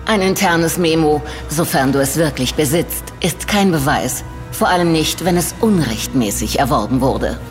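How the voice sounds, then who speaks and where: Low-voiced, haughty villainess in reverb. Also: female voice, lively intonation, moderate pace. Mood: cool, dismissive. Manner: condescending, sardonic. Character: cold, haughty female industry villain, cynical and defiant. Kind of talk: German audio drama acting. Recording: reverberant hall with music underneath.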